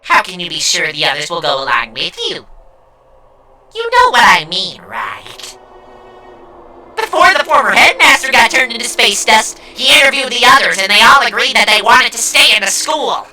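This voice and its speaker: Sadistic teen girl mastermind, wildly swooping delivery, piercing bright tone, taunting, melodramatic, anime dub. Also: on the high side, wildly swooping pitch, normal speed, soft background music, exaggerated voice, poor audio. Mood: scornful, disappointed. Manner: taunting, melodramatic, mock-sweet. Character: gleefully sadistic, theatrical teenage girl mastermind villain. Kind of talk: anime-style fan dub acting.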